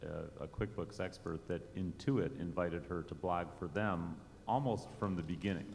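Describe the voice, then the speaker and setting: Low-voiced male moderator, hesitant. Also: normal speed, creaky, noisy background. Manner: hesitant, many fillers. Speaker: panel moderator. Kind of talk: moderating a conference panel. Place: conference stage. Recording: reverberant conference hall.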